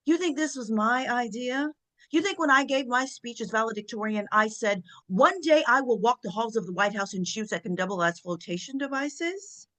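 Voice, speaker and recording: A woman playing an imperious, sharp-tongued First Lady, haughty, biting and commanding, in a comedy play table read. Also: on the high side, lively intonation, normal speed, rough recording. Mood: indignant, proud.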